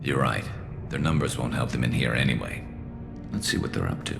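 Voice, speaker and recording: A man playing a weary, wry alien vigilante sniper in a video game, speaking in a dry, laconic, gravelly way. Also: animated, fairly quick, heavily acted. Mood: calm, tactical. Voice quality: gravelly, low. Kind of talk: video game cutscene acting. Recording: music behind the voice.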